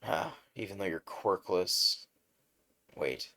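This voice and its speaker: Man voicing a hushed, menacing sludge villain. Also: low voice, expressive, slow, creaky, exaggerated voice. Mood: menacing, sly. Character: slimy male sludge monster, menacing. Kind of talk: fan retelling of a hero story.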